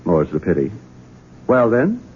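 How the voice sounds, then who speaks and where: An actor playing a nervous yet domineering gentleman and resentful mama's boy, stammering and curt in a 1970s radio drama style. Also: on the low side, wildly swooping pitch, measured pace, husky, exaggerated voice. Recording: tinny, hissy 1970s radio broadcast.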